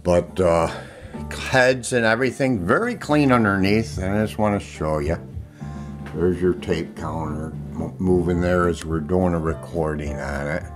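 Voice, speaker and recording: A man giving a casual, unscripted product demonstration in a plainspoken, halting way from behind a handheld camera indoors. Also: low-pitched. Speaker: online seller demonstrating an item. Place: indoors, room in a home. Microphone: handheld camera, speaker off screen behind it. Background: music playing from audio equipment.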